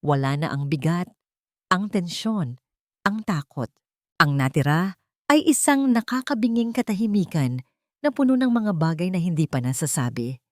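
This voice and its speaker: A woman's voice: lowish voice, very animated, normal speed, a little mellow, no echo, studio quality. A woman storyteller reading a scripted story as dramatic narration.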